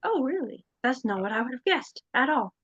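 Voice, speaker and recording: A woman's voice: high-pitched, very animated, brisk, a bit bright, slightly rough, highs cut off. A woman interviewing in a conversational, curious and attentive way.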